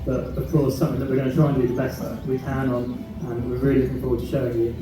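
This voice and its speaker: A man giving a presentation and answering questions, halting and full of fillers. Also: medium pitch, little pitch movement. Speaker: park presenter. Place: large echoey venue. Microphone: far from the recording camera. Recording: echoey distant room recording with noise.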